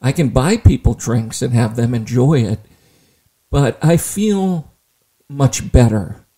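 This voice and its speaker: A man hosting a casual interview, full of wisecracks and quick asides. His voice is mellow and darker in tone, and he sits at a middle pitch for a man. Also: lively intonation, slightly rough. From Ireland. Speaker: podcast host. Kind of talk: joking interview chat.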